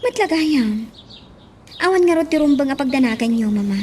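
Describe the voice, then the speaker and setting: An actress playing an earnest young daughter in an Ilocano radio drama. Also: female voice, sits high, expressive, normal speed, mellow. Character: earnest young daughter reassuring her mother.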